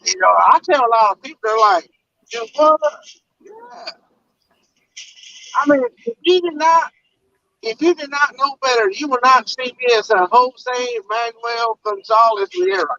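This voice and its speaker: A man's voice: extremely high pitch, big pitch swings, a little slow, pushed and bright. A man from Puerto Rico with a Southern US accent, telling stories in a casual video chat from a truck, laughing often and stammering as he restarts. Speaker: truck driver on a livestream. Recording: dull lo-fi sound.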